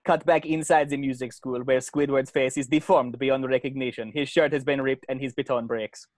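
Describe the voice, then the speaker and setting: A man reads out cartoon stage directions as a scripted narrator. His pitch is average and the sound is dry, with little room around it. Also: fairly even intonation, moderate pace, no room sound.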